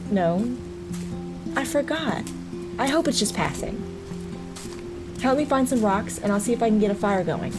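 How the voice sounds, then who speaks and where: A woman's voice: on the high side, animated, normal speed, long pauses, over music, natural voice. A woman playing a flustered, self-doubting mother who narrates and talks in an audio drama. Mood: practical.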